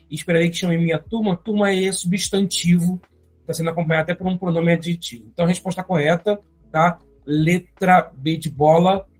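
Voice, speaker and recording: A teacher with a Brazilian Portuguese accent, going step by step through an exam-prep grammar lesson and often adding quick check-in tags. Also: medium pitch. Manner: explains step by step, often adding tag questions.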